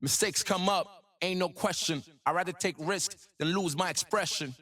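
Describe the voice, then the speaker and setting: Cocky young rapper prodigy game character, kinda high n sharp, rhymes everything with swagger. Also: male voice, moderate pace. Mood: proud, lyrical. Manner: swaggering, rhythmic, boastful. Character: cocky young gifted male rapper prodigy, playful, proud. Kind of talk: rhymed, rap-like game voice lines.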